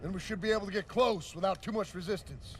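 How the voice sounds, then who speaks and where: An actor playing an impatient, abrasive army sergeant in a war game, brusque and urgent. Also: male voice, high-pitched, quick pace, a little hiss or hum, lightly acted. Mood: irritable. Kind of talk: scripted war game dialogue.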